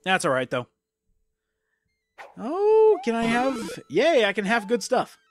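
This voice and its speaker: A hoarse gameplay commentator, losing their voice to a cold, chatting casually and exclaiming at what happens on screen. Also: medium pitch. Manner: chatty, exclaims at game events. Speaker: let's play commentator. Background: faint game music.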